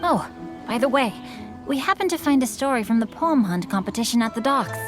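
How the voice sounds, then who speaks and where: A man's voice: extremely high pitch, lively intonation, a little fast. A man voicing a courteous young male swordsman and novelist who speaks formally and politely, in a game. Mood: embarrassed. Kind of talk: game voice acting. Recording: game cutscene with music underneath. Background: game music.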